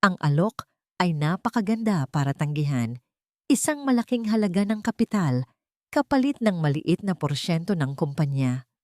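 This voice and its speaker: A woman's voice: on the low side, big pitch swings, moderate pace, no room sound, clean studio sound. A woman narrating a scripted audiobook drama in a dramatic storytelling manner.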